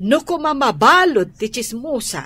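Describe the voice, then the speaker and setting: A woman's voice: high voice, very animated, normal speed, sharp tone, husky, exaggerated voice. A woman playing a blunt, bossy busybody who nags emphatically in a radio drama. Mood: mocking, nagging. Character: bossy, blunt, scolding female cousin and busybody.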